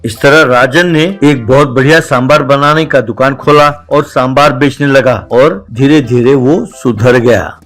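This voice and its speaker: A man's voice: medium pitch, unhurried, hardly any pauses, a bit bright, faint music behind. A man narrator reading a scripted comedy tale. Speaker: story narrator.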